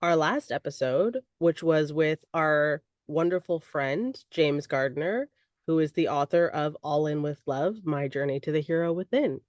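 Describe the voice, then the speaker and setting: This hoarse, congested young woman chats loosely and teases as she co-hosts. Her voice sits on the low side for a woman and sounds close and dry, with no room around it. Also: measured pace, darkish tone, no room sound. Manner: playful, teasing. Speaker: podcast co-host. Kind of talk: casual chat. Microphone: recording online.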